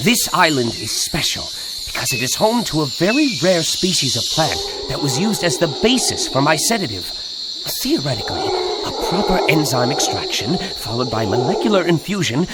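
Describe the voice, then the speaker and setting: An earnest game scientist, precise and technical. His voice sits fairly high for a man, and loud ambient noise runs behind it. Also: sing-song, moderate pace. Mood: hopeful, earnest. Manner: technical, explanatory. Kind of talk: animated action game cutscene acting.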